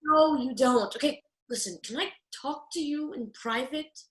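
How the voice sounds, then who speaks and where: A young fairy tale girl, acted by a woman, sweet but no-nonsense. Her voice is high and bright, in a roomy space, as performed for a comedy radio play. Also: moderate pace. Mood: annoyed, scolding. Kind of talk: comic radio play acting.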